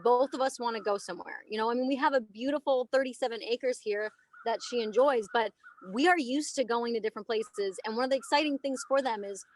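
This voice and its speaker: A woman's voice: fairly high pitch, a little fast, no echo. A woman in her thirties, an elephant trainer, talking casually and emphatically in a podcast interview. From United States. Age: 30s. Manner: animated, emphatic storytelling. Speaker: elephant trainer and aerialist guest.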